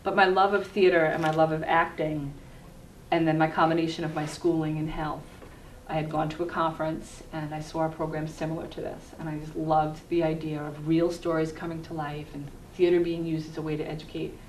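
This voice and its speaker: A woman's voice: low-pitched, level tone, brisk. A middle-aged woman, an educator, explaining her work earnestly in a conversational interview as a guest. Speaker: guest, university health educator. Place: echoey room, cable TV program recording. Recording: roomy echo, distant mic, faint noise.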